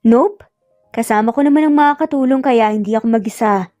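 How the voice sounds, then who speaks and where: A woman's voice: on the high side, brisk, dark tone, no room sound, clean studio sound, soft background music, lightly acted. A woman voicing a young mother in an audio story, caring and teasing with a slightly prickly edge. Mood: guarded, prickly.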